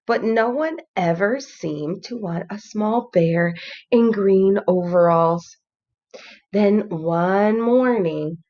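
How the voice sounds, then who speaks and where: A woman reading a children's storybook aloud like a teacher, expressive and engaging with young listeners. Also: lowish voice. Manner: expressive, pauses to ask listeners questions. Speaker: kindergarten teacher. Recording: slightly dull lo-fi sound.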